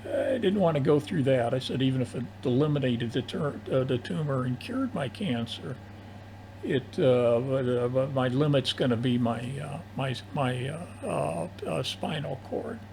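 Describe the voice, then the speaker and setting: An older man chatting casually over amateur radio in long turns, with a halting, hesitant delivery. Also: medium pitch, lively intonation, gravelly, a little mellow. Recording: noisy amateur AM radio audio.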